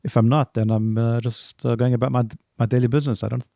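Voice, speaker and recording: A middle-aged man with a softened South African accent, answering interview questions casually in measured, halting phrases. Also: mid-range voice, flat delivery, vocal fry, no echo, clean studio sound. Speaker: podcast guest, music arranger.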